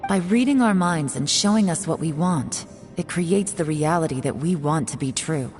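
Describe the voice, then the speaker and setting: Low, guarded secret agent. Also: female voice, expressive, darkish tone, faint music behind. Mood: grave. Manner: low, measured and guarded, with heavy sighs. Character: secretive composed young woman agent. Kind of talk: video game voice acting.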